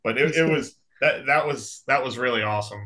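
A young man co-hosting a podcast, chatting casually and acting out quotes in an animated way. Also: mid-range voice, fairly quick. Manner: animated storyteller, acts out quotes. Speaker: podcast co-host. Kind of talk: casual chat.

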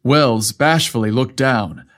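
A man's voice: mid-range voice, slow pace, a bit bright. A man reading a scripted story aloud as its storyteller.

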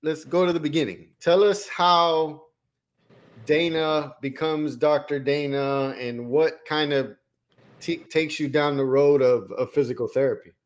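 A man's voice: a little high, steady tone, a little slow. A man from Colombia co-hosting a podcast, talking in a rambling, friendly way and often repeating words. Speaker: podcast co-host. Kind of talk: casual interview chat.

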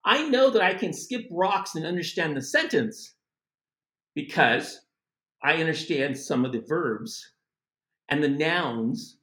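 A middle-aged man from the United States giving a casual spoken language lesson, animated and chatty with asides. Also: high-pitched, roomy sound. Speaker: language teacher.

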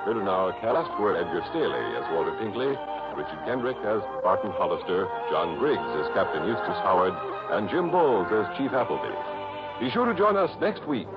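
A man's voice: lowish voice, very animated, fairly quick. A man announcing a 1960s radio show, reading scripted credits in a formal, emphatic manner. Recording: muffled vintage radio broadcast recording. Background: loud music.

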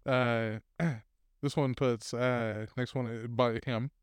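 A man co-hosting a podcast, reading stories aloud in a loose, casual way with fillers and laughter. His voice sits at a middle pitch. The sound is dry and close to the mic. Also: fairly even intonation, slow pace, clear, resonant tone, no room sound.

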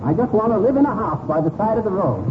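Crackly, narrow old radio sound carries a bumbling American husband. His voice is high and swings up and down, folksy one moment and mock-genteel the next, in broad comedy style. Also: very animated, quick pace. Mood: eager, folksy. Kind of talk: 1940s radio comedy acting. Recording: very noisy tinny 1940s radio recording.

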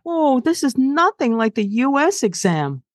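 Woman chatting and asking questions, really animated pitch, dry recording. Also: unhurried, dry recording. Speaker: interviewer. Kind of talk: casual chat.

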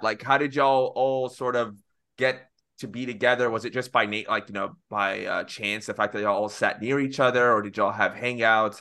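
A man's voice: mid-range voice, sharp tone. A young man from Egypt hosting a student podcast, asking rambling, friendly questions with many fillers. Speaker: podcast host. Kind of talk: casual chat.

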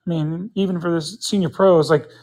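A man's voice: a little high, fairly even intonation, brisk, a little mellow, poor audio. A man in his fifties, a guest in a casual sports interview, speaking in an earnest, opinionated way as he thinks aloud. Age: 50s. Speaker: interview guest, pickleball player.

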